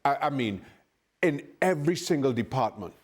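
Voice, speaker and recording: A man giving measured football analysis on a studio panel, restarting some sentences. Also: mid-range voice, expressive. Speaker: football pundit.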